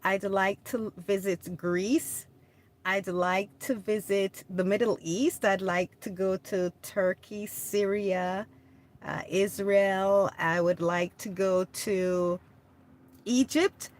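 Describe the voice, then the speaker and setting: A woman's voice: mid-range voice, fairly even intonation, takes it slowly. A woman born in Jamaica, chatting casually on a livestream, answering viewer comments and laughing often. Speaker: livestream host. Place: home in California.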